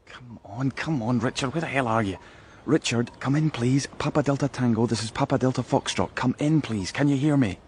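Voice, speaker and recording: Man acting radio crime drama policeman, wry and urgent, quick delivery, faint background noise. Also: medium pitch, natural voice. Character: wry undercover policeman reporting furtively by radio. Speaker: police officer.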